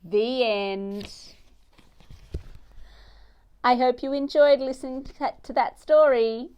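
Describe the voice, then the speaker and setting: A woman's voice: high-pitched, slow, a little mellow, clean tone, muffled recording. A woman narrating a children's storybook as a read-aloud storyteller.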